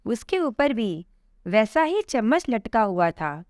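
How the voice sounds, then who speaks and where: A woman narrating a scripted moral story in a measured, expressive storytelling manner. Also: fairly high pitch, no echo, clean studio sound.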